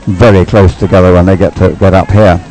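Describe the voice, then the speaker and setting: A man commentates live on a boat race in a deep, soft-edged voice. He rambles and hesitates, working out his thoughts as he speaks. Faint music sits behind him. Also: flat delivery, fairly quick, poor audio. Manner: rambling, hesitant, thinking aloud. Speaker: sports commentator.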